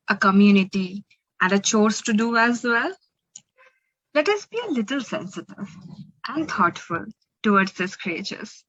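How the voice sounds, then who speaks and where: Animated Indian woman storyteller, slow and expressive. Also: mid-range voice, highs cut off. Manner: expressive, deliberate delivery with dramatic pauses. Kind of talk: children's picture book read-aloud.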